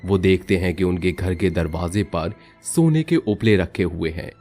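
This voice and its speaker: A man narrating a scripted horror story for a cartoon storybook channel, steady and dramatic. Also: deep voice, unhurried, faint music behind.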